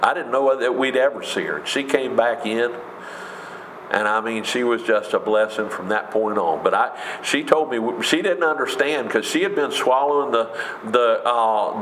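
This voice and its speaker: A man with a big booming voice preaching a sermon in an emphatic, conversational way, with rhetorical questions. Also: fairly bright tone, a little hiss or hum. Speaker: pastor. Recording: echoey room with faint ambience.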